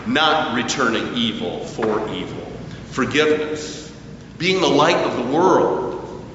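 A man preaching a sermon at a slow, deliberate pace, his voice rising and falling with emphasis. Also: medium pitch, slight background noise. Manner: slow, deliberate preaching with lively rises and falls. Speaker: pastor. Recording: echoey church hall, distant mic, dull sound.

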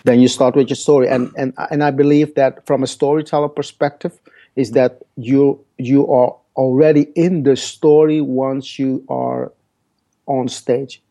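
Male podcast guest, Dutch first language, mellow and halting. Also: medium pitch. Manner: hesitant, repeats words while searching for phrasing. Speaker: guest speaker. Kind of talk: podcast interview.

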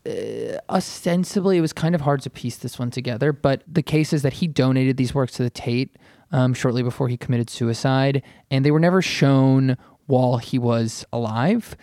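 A man's voice: mid-range voice, normal speed, dark tone, no room sound, clean studio sound. A man on a podcast explaining things in a casual, conversational way, often qualifying what he says. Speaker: writer on a podcast.

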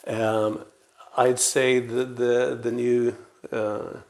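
A man's voice: mid-range voice, level tone, very slow, vocal fry. A man in his sixties from Sweden speaking as an interview guest, telling anecdotes conversationally with hesitant, repeated words. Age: 60s. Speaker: interview guest, veteran publisher and editor.

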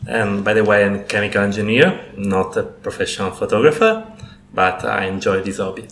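Low-voiced Italian-accented man. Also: fairly bright tone, slight background noise. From Sicily, Italy. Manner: slow, searching phrasing. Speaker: chemical engineer, hobby photographer guest. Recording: roomy with faint ambience.

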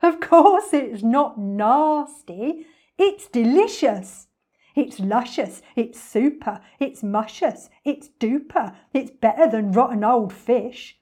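A woman voicing an enormous, boastful, greedy male crocodile in a children's storybook, gloating and savouring the words with slow menace. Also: fairly high pitch, expressive, darkish tone. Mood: gleeful, boastful. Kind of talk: dramatic children's storybook reading.

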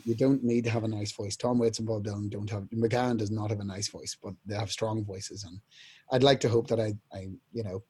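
A young man, a band's singer, telling rambling stories in a casual interview, clearing his throat often. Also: fairly low pitch, steady tone, brisk, darkish tone. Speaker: band singer, interview guest.

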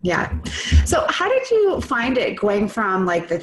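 A woman hosting a podcast interview, talking in a relaxed, chatty way with frequent fillers. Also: medium pitch, unhurried. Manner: easygoing, filler-heavy. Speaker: podcast host. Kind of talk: casual interview chat.

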